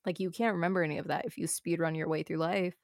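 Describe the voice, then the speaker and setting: A woman's voice: on the low side, fairly even intonation, dry recording, studio quality. A young woman in her early twenties, a streamer talking casually and earnestly with many fillers. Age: young adult. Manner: fast, filler-heavy, earnest talk. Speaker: guest streamer. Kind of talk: casual chat.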